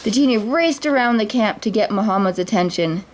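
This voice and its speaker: A woman reading a children's picture book aloud at story time. Her gentle read-aloud has the odd stumble and restart. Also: medium pitch, animated, a little fast. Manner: gentle, with small slips and restarts.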